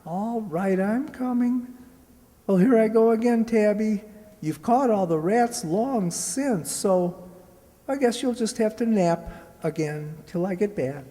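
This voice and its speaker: A humble, earnest servant boy, played by an actor in a radio play for children. The voice is mellow and affectionate, ringing in a reverberant hall. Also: medium pitch, slow, lightly acted. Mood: fond, chatty.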